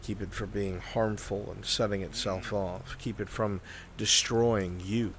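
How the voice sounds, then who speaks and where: Man playing a slow, grave fantasy mage. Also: on the low side, steady tone, fairly bright tone. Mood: grave warning. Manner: slow, measured, solemn, speaking in weighty repetitions. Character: grave male mage mentor warning of magic's dangers. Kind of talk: improvised fantasy roleplay acting. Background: rain.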